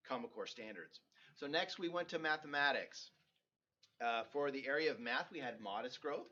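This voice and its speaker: A man's voice: medium pitch, sharp tone. A man giving an informal staff presentation as an administrator, explaining things in an upbeat way. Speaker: school district administrator presenting. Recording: slightly muffled recording.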